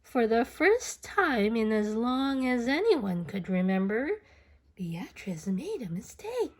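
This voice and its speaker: Slow, very animated woman storyteller. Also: on the high side. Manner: expressive, dramatic, with whispers and playful sound effects. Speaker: picture book reader. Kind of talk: animated children's read-aloud storytelling.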